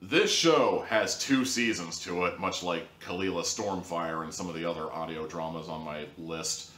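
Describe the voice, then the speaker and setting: A man's voice: low-pitched, normal speed, a bit bright. A man giving a candid, rambling spoken review as host, full of fillers and asides. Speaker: audio drama reviewer. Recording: roomy echo, voice distant from mic.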